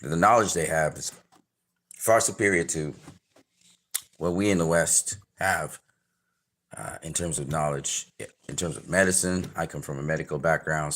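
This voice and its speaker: This is a low-pitched man with a Trinidadian accent. He talks casually and at length, trailing into fillers and circling back on himself as he reacts to a trailer for his channel. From Trinidad and Tobago. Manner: rambling, many fillers and restarts, gives shout-outs. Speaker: channel host reviewing a trailer.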